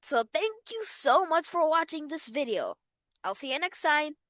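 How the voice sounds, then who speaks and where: A woman teacher reading out quiz questions and telling viewers to pause the video, in a chatty, instructing manner. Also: sits high, sing-song, a bit bright, no echo, studio quality.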